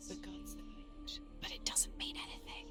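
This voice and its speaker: A woman voicing a cruel, accusing voice inside a tormented mind in a video game, breathy and repetitive with pointed questions. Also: normal speed, over loud music, natural voice. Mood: sneering, doubting. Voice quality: breathy whisper. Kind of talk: dramatic video game voice acting.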